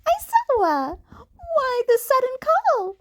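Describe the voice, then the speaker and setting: A woman's voice: very high-pitched, huge pitch swings, takes it slowly, pitched above the performer's own voice, full character voice. A woman voicing a clever, polite, thoughtful male creature who runs a school, in a fan fiction audiobook. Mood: curious.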